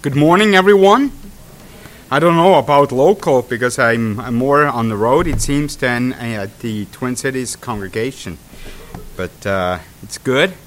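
A traveling pastor with a Swiss accent giving a sermon, talking in halting bursts full of fillers and restarts, then reading prepared text aloud. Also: male voice, mid-range voice, fairly bright tone. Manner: hesitant, many fillers and restarts. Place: congregation hall.

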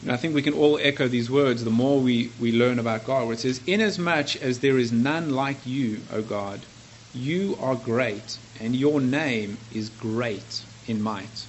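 Male preacher, South African accent, earnest and conversational, medium pitch, faint background noise. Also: measured pace. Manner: earnest, conversational, self-correcting. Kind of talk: sermon.

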